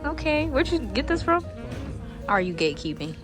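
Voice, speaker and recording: A young woman vlogging in a chatty, casual way, her voice raspy from a sore throat, laughing often and trailing off with fillers. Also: high voice, big pitch swings, moderate pace, over music. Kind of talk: casual vlog chat.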